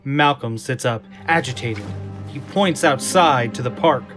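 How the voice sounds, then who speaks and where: A male narrator describes scenes from a script in a middle-pitched voice. Faint music plays beneath him, as in an audio drama. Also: unhurried.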